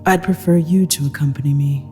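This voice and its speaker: A woman playing a cold, controlling, wealthy female executive in an audio drama, speaking in a deliberate, commanding, clipped way. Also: sits low, warm tone, faint music behind, pitched below the performer's own voice, lightly acted. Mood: controlled, insistent. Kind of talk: dramatic audio fiction acting.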